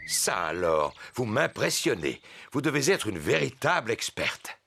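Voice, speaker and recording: A man in a French cartoon dub, playing a jovial daddy pig who talks with unhurried, pompous confidence. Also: mid-range voice, sing-song, gravelly, loud music behind the voice. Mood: jovial, confident. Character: jovial, self-assured daddy pig, middle-sized family father.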